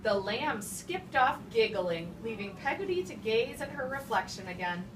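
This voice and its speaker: A woman's voice: a little high, animated, normal speed. A woman teacher reading aloud to young children and giving them instructions, with simple repeated phrases and emphasized key words. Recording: echoey, noisy classroom, far microphone. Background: young children talking, room noise.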